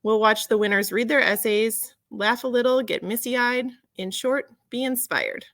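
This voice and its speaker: Woman host reading ceremony script, gravelly fry, really dry room sound. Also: on the high side, a little slow, fairly bright tone, dry recording. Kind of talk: scripted event remarks.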